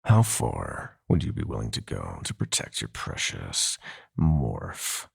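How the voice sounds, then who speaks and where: Cruel god of nightmares, extremely low growl, husky and breathy, slow taunting purr, possessive roleplay villain. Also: male voice, big pitch swings, heavily acted. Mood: mocking delight. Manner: slow, taunting, theatrical purring. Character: sadistic possessive male god of nightmares, silky menace. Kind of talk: dramatic audio roleplay villain.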